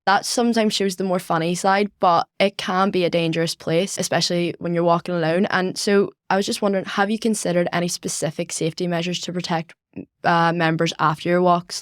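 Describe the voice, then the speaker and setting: A woman's voice: on the low side, flat delivery, fairly quick, dry recording, clean studio sound. A young woman with a Northern Irish accent interviewing guests conversationally as a podcast host.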